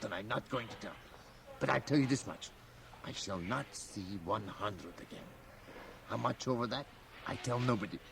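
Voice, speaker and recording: An actor playing a very old, mischievous and learned priest in a radio drama, with a gravelly aged voice and sly, chuckling asides. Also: male voice, mid-range voice. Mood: teasing, mischievous. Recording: faint hiss, home cassette radio transfer.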